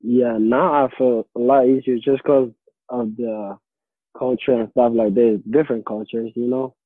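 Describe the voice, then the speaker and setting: A man's voice: medium pitch, steady tone, normal speed, slightly rough, no echo. Teen young man on a tinny line. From Somalia. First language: Somali. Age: teens. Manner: hesitant, repeats and restarts phrases. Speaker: interviewee, high school student. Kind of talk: casual interview answers. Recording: tinny phone line, faint radio noise.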